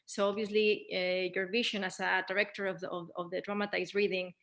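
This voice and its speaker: A woman moderating a panel Q&A off the cuff, phrasing her questions and comments carefully and haltingly. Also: mid-range voice, level tone, a bit bright.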